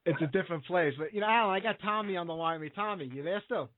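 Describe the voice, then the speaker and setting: A man hosting a radio interview, eager and chatty, stacking up fillers. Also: sits high. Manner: eager, fast talker with many fillers. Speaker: radio show host. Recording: tinny phone line.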